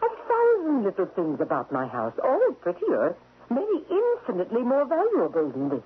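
An actress playing an imperious, wealthy widow in a radio drama, sharp and unyielding, with precise, haughty, formal diction. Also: female voice, a little high, wildly swooping pitch, normal speed, a little hiss or hum. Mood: haughty, stern. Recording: muffled lo-fi old radio broadcast.

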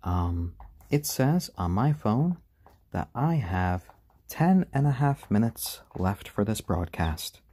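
A man hosting a casual live stream at home on his phone, speaking gently to viewers and to baby chicks. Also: on the low side, very animated, slow, slightly rough. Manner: gentle, soft-spoken, talks to chat and chicks. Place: home room. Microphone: handheld phone.

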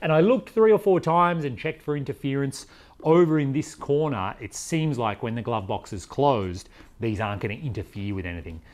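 A man's voice: mid-range voice, animated, moderate pace, a little mellow. A man in his forties talking casually to camera like a DIY vlogger, thinking aloud as he explains things in a conversational way. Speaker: vlogger presenting a DIY vehicle install.

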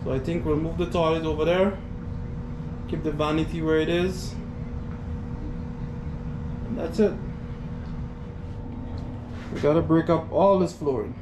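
Unhurried man on a noisy job site. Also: on the high side, steady tone. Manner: plain, matter-of-fact planning talk. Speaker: contractor host. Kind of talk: casual work narration. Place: basement under renovation.

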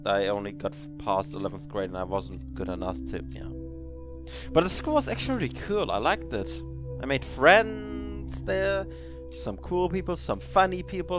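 A man's voice: a little high, very animated, moderate pace, over music. A man in his twenties hosting a casual podcast, rambling and correcting himself as he reacts to an old recording of himself. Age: 20s. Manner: rambling, self-correcting, teasing his past self. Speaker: podcast host.

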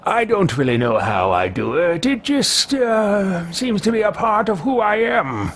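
A man's voice: high-pitched, sing-song, unhurried, a bit bright, husky. A man in his thirties voicing his own game character with haughty, dry remarks, recorded on a headset in a home studio. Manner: haughty, dry, joking asides. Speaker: let's play host roleplaying his character. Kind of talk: in-character commentary over gameplay.

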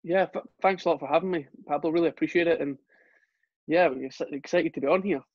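A man's voice: a little high, quick pace, dry recording. A young Scottish man, a soccer coach, chatting casually as an interview guest, with many fillers and restarts. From Scotland. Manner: relaxed, with frequent fillers and restarts. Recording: dull, lo-fi sound.

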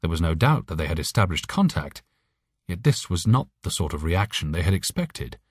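A man's voice: sits low, big pitch swings, normal speed, slightly rough, no echo, clean studio sound. A man reading scripted story narration as an audiobook narrator.

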